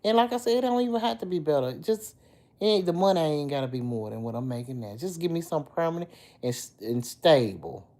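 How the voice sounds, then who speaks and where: A middle-aged woman with a deep, slightly hoarse voice, chatting casually on a livestream and answering commenters. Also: expressive, quick pace, warm tone. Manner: chatty, talks back to commenters by name. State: a little hoarse. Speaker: livestream host. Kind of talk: casual livestream chat with viewers.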